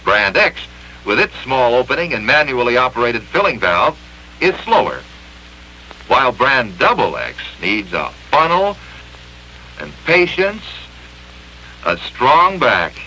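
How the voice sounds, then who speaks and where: A man narrating a 1960s industrial training film in an emphatic, clearly enunciated instructional manner, from an old film soundtrack. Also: medium pitch, big pitch swings, slow pace, pauses often, pushed and bright, slight background noise, poor audio.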